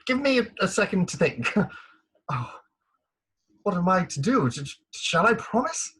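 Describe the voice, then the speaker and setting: A man acting a flustered, gallant middle-aged colonel in a comic Victorian farce, stammering and hesitant, with a husky voice. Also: sits high, sing-song, unhurried, fairly bright tone, heavily acted. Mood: anguished dithering. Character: flustered, gallant English army colonel, bumbling and reluctant. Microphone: remote home recording over video call.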